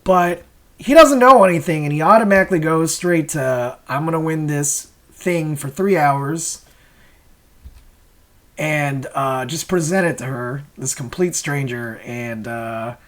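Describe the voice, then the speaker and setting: A man's voice: on the high side, unhurried. Casual, hesitant man talking through his work. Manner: hesitant, self-questioning, chuckles while explaining. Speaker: comic creator and host. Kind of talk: casual rambling creator commentary.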